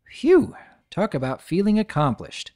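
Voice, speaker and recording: A man voicing a small, cheeky boy dragon with a squeaky, cocky, excitable manner. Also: a little slow, heavily acted.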